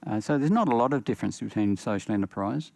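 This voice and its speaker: An older man with an Australian accent speaking on a panel, pausing often with fillers. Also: fairly low pitch, vocal fry, darkish tone, no room sound. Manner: halting, many uh fillers. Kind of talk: panel discussion.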